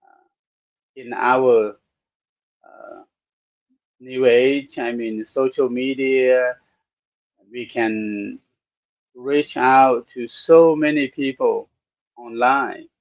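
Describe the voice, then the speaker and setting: Thai-accented male podcast host, slow and halting, dry, slightly dull sound. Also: mid-range voice, fairly even intonation, dry recording. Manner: slow, halting with many "uh" pauses. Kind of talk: unscripted podcast talk.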